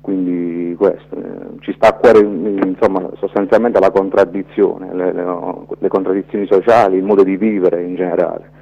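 Male interviewee, very low voice, thoughtful and halting delivery, muffled lo-fi radio sound. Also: fairly even intonation, creaky, slightly rough. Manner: hesitant, halting, reflective, searching for words. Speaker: documentary filmmaker, interview guest. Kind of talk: spontaneous radio interview answers. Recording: dull lo-fi radio interview audio.

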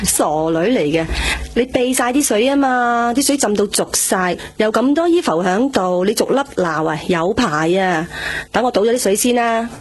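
An actress playing a brisk middle-aged mother in a radio drama, teasing and scolding in colloquial Hong Kong Cantonese. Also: a little high, animated, natural voice. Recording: clearly noisy, with clicking sounds.

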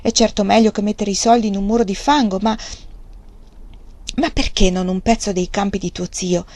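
A quiet, patient young peasant wife, voiced by a woman, speaking in a calm, measured way. Her pitch sits in a middle range. The sound is dry and close to the mic. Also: no echo, natural voice. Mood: cautious.

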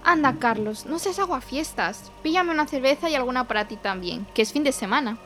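A woman playing a brash, sceptical young ghost debunker, bossy and forceful, in a horror audio drama. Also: high-pitched, expressive, a little fast, music in the background. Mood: dismissive.

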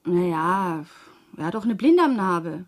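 A woman's voice: fairly low pitch, sing-song, a little slow. A woman acting a distressed, defensive mother who works as a prostitute, in a plaintive manner, in a radio crime drama. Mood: subdued, wary.

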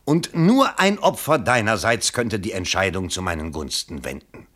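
A man's voice: lowish voice, lively intonation, normal speed, a bit bright. An actor in a 1960s German radio play, playing a middle-aged bourgeois father who pleads theatrically and wheedles. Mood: anxious coaxing. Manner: theatrical, wheedling, swinging into outbursts. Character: desperate, pleading bourgeois father and election candidate.

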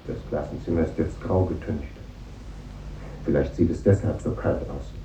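An actor playing a brooding, embittered composer in a 1950s German radio drama, with a dark, husky voice and terse delivery. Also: male voice, a bass voice, fairly even intonation, normal speed, slight background noise. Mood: melancholy. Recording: muffled old radio recording.

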